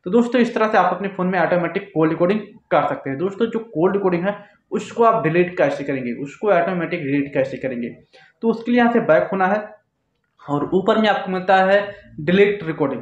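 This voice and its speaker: A man's voice: high-pitched, fast. Male tech tutorial host, explains step by step and repeats himself; dull, echoey indoor recording. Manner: repetitive, instructional phrasing. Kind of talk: step-by-step phone tutorial to camera. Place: small indoor office, seated. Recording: echoey room, dull muffled sound.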